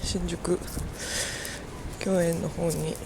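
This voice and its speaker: A woman's voice: fairly low pitch, steady tone, takes it slowly, gravelly, background noise. A young woman with a Japanese accent, a language teacher chatting casually in a vlog, hesitant and self-deprecating. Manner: hesitant, self-deprecating, full of "like" and "I don't know".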